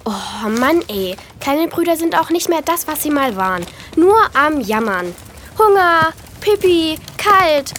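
A bold, teasing girl in a radio play, the bossy older sibling with a taste for adventure. Her voice is high, with faint noise behind. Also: very animated, a little slow, fairly bright tone, heavily acted. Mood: annoyed, teasing. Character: teasing, adventurous big sister, a young girl. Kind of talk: German audio drama acting.

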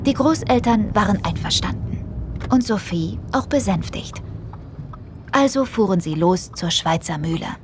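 Female narrator, medium pitch, expressive storytelling, deliberate emphasis, scripted children's audio story, faint ambient noise.

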